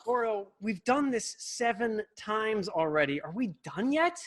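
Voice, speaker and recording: A man's voice: high voice, sing-song, measured pace, a bit bright, full character voice. An actor playing an eager, cocky young boy in a sitcom table read, with earnest delivery. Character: eager, cocky young boy wanting martial arts glory. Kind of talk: sitcom table read over video call.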